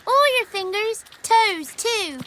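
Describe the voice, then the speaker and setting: A wary little village kid from a fantasy game, cheeky and blunt. The voice is very high and piercing, with slow, swooping rustic speech. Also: female voice, lively intonation, bright, forceful tone, exaggerated voice. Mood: bargaining. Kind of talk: video game voice acting.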